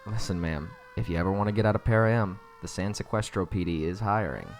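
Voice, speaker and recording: A man's voice: on the low side, lightly acted. A man playing a cocky, world-weary police detective in a cyberpunk audio roleplay, sarcastic and needling in his delivery. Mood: needling, smug. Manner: sarcastic, taunting, casual drawn-out jabs. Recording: clear background noise, sirens and street ambience.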